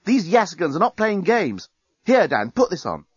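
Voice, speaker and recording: A man's voice: high voice, sing-song, moderate pace, husky, no room sound, highs cut off, voice pushed up, heavily acted. A man voicing a brash, impatient male alien journalist, urgent and insistent, in a science fiction audiobook. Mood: impatient explaining.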